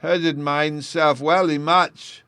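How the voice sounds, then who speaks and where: A man's voice: a little high, moderate pace, fairly bright tone, dry recording, pitched above the performer's own voice. A man reading aloud, voicing a small, stubborn, childish fairy boy in a storybook. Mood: plaintive.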